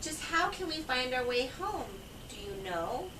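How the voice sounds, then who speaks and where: A woman's voice: high-pitched, very animated, a little slow. A woman voicing a polite, earnest young male fawn in a children's story. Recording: echoey, distant, noisy home recording.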